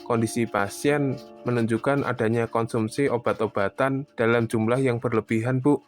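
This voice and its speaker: Man playing calm formal doctor, mid-pitched. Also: normal speed, faint music behind, close to an everyday voice. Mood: grave, explaining. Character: calm sympathetic male doctor, formal and consoling. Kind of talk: animated cartoon drama dialogue.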